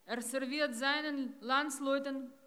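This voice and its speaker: Middle-aged woman, rally speech, slow and solemn, long echo from the square. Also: sits high, fairly even intonation, bright, forceful tone. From Ukraine. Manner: slow, grave and deliberate. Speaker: rally speaker. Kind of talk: public speech. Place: outdoors in a town centre.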